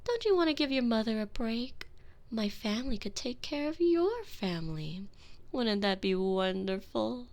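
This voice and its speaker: A woman voicing a seductive, commanding vampire mistress in an intimate audio roleplay, teasing and manipulative, often dropping to soft whispers. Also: on the high side, sing-song, normal speed. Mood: persuasive. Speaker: voice actress in a one-sided audio roleplay. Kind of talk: intimate binaural roleplay, addressing the listener.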